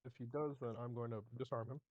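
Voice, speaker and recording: A man with a medium-pitched voice talks through his moves as a player in a tabletop game. He is casual and blunt, heard over a dry, slightly dull online connection. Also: steady tone, quick pace, a little mellow, no echo. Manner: profane, blunt. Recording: slightly muffled dry call audio.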